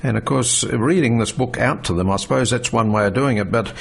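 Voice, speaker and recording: A man's voice: mid-range voice, fairly quick, gravelly, slightly rough. A man hosting a casual radio interview, chatty and affirming. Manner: chatty, affirming, laughs easily. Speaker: radio host.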